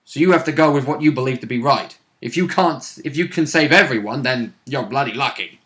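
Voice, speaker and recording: A man's voice: mid-range voice, a little fast. A man casually recounting a tabletop game session as a player, sweary and given to acting out moments. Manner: sweary, animated reenacting of moments. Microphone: recorded conversation for a vidcast.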